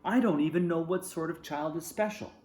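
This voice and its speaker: A man's voice: on the high side, brisk, darkish tone, voice pushed up, close to an everyday voice. A man voicing a young male sasquatch in a storybook, earnest and childlike, eager and lonely for a friend. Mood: dejected. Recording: roomy echo.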